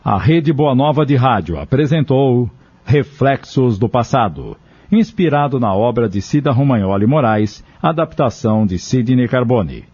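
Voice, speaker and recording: A man's voice: mid-range voice, lively intonation, measured pace, a little mellow, husky. A man with a Brazilian accent reading scripted narration and announcements for a radio drama. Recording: dull lo-fi radio recording with music.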